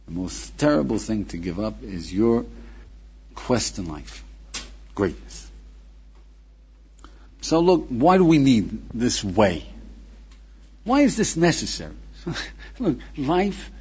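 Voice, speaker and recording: A man's voice: mid-range voice, dark tone, slightly rough, highs cut off. A man teaching as a rabbi, lecturing emphatically with rhetorical questions and calling his listener "friend". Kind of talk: recorded lecture.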